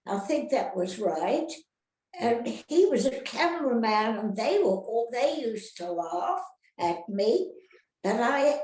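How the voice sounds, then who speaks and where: An older woman, an actress reminiscing casually in an interview, rambling and halting, often turning questions on her listeners. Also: medium pitch, mellow. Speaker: retired actress being interviewed. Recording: roomy, echoey sound.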